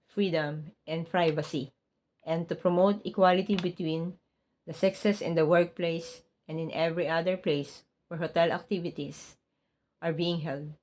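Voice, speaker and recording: Woman, Filipino accent, Tagalog native speaker, lowish voice, careful halting reader, casual vlogger. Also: darkish tone. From Philippines. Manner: careful, halting reading with small slips. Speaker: vlogger, hotel housekeeper. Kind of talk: casual vlog, then reading a document aloud.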